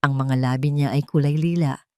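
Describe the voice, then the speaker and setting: A woman's voice: extremely low pitch, fairly even intonation, normal speed, darkish tone, clean tone. A woman with a Filipino accent narrating a dramatic first-person story in an emotive storytelling manner.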